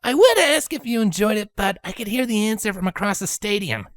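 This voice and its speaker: Woman voicing a high, brash young pegasus. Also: lively intonation, fairly quick, no echo, studio quality, lightly acted. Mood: teasing. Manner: hesitant, protesting. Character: brash, sheepish young female pegasus athlete.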